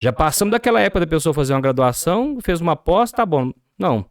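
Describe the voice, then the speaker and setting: Brazilian guy on a business podcast, kinda high voice that swings around a lot, dry close sound. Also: big pitch swings, fast talker, dry recording. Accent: Brazilian Portuguese. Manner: explanatory, asks rhetorical questions. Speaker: podcast guest.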